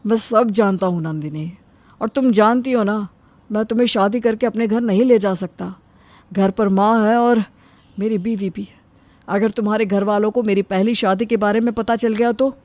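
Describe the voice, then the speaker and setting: A woman voicing a reluctant, earnest young man, a dutiful son, in an animated story. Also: medium pitch, moderate pace, no echo, lightly acted. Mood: troubled. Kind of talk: Hindi animated story acting.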